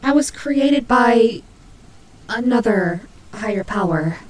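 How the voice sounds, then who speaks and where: A woman's voice: a little high, animated, slow. A woman playing a prickly but caring female nightmare demon rabbit in an audio roleplay, speaking to the listener with hesitant, teasing, flustered delivery. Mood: subdued, confessing.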